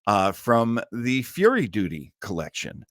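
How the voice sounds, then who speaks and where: An American man hosting a casual live stream, talking in a rambling, halting way with chuckling asides. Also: medium pitch, wildly swooping pitch, slight background noise. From Maine, United States. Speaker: host of an online old-time radio listening show.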